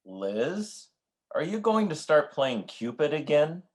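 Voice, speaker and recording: A man's voice: a little high, sing-song, slow pace, exaggerated voice. An actor playing a skeptical, disapproving husband in an old-time radio comedy, chiding as he speaks. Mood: reproachful.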